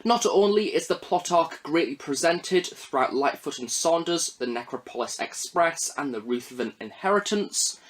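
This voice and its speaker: A male reviewer delivering scripted narration with emphatic, deliberate phrasing. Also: on the high side, animated. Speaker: reviewer, host of an audio drama review series.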